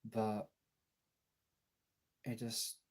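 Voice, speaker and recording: A man chatting candidly on a livestream in a halting, husky, gravelly voice, swearing casually, recorded on a phone. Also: on the low side, flat delivery. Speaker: live streamer and voice actor. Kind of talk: casual confessional livestream chat.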